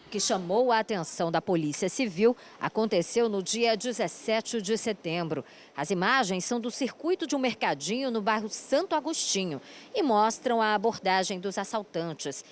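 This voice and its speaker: A woman reading a scripted crime news report as a voice-over, steady and measured. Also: mid-range voice, a little hiss or hum.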